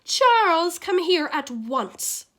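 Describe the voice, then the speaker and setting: A woman's voice: very high-pitched, sing-song, slow pace, sharp tone, voice pushed up, exaggerated voice. A woman reading as a snooty, bossy upper-class mother with a haughty, commanding manner in a storybook. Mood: stern, commanding.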